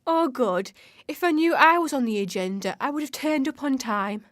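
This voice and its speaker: A woman's voice: high-pitched, expressive, normal speed, fairly bright tone, no room sound, clean studio sound. A woman acting in a radio drama as a breezy, haughty, party-loving season spirit, flippant and self-satisfied.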